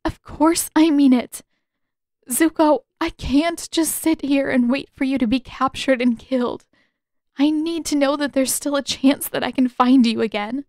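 A determined teenage girl, played by a woman narrator, pleads in a high, urgent, tearful voice. The storybook drama is earnest, and the recording is dry and close. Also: unhurried, a little mellow, dry recording. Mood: urgent, insistent.

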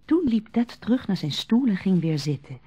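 A woman narrating a radio drama in a measured, literary storytelling manner. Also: mid-range voice, very animated, dark tone, husky, dry recording.

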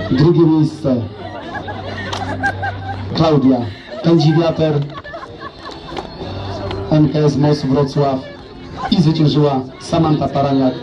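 A man reading out race results and club names as announcer at an outdoor awards ceremony, brisk and matter-of-fact. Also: mid-range voice, muffled recording. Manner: brisk, lists names and clubs. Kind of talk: reading results over loudspeaker. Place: outdoor sports field. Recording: echoey loudspeaker from far off, crowd.

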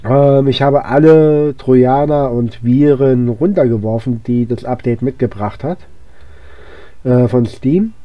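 A hoarse man with a cold giving casual, rambling gameplay commentary, with lots of fillers and restarts. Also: medium pitch, a little slow, dry recording, muffled recording. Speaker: let's play gamer.

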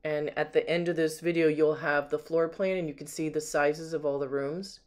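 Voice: medium pitch, fairly even intonation, normal speed, darkish tone. A home sales agent giving a casual, unscripted walkthrough narration, admiring and describing things item by item, recorded on a handheld camera inside an empty house. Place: indoors, rooms of an empty house.

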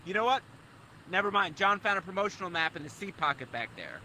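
An actor playing a chatty, oblivious driver calling from the road in a game's radio drama, rambling breezily. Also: male voice, high-pitched, animated, fast, pushed and bright, heavily acted. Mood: breezy, oblivious. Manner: breezy, rambling, talks over others. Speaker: caller driving in a car. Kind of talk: radio drama acting in a game. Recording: very noisy car phone call.

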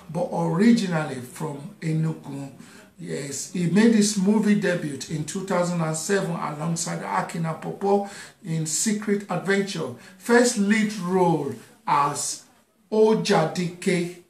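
A man's voice: high-pitched, echoey room. A middle-aged man hosting a live radio interview in a studio, chatty, repeating his words and laughing often. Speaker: radio show host. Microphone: studio mic on boom arm.

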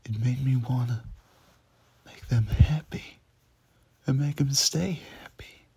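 A man plays a brash young demon with a soft heart in an audio roleplay. His voice is husky and dry, stumbling informally over words at an unhurried pace. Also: medium pitch, no echo, exaggerated voice. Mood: tender, musing. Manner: stammering, flustered, informal. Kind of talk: ASMR audio roleplay acting.

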